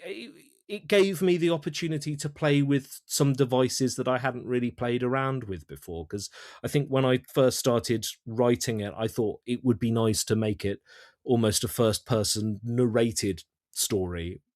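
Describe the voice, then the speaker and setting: A man chatting as an interview guest about his creative work, thinking aloud haltingly and often repeating words. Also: medium pitch, no room sound, studio quality. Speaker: audio drama writer-director, interview guest. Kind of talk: casual interview chat.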